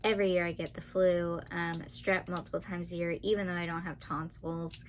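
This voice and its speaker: A woman in her twenties with a nasal, monotone voice, chatting fast and dryly as a vlogger counting off facts about herself. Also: lowish voice, slight background noise. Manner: talks fast and a lot, dry and sarcastic. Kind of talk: casual talking-to-camera list video.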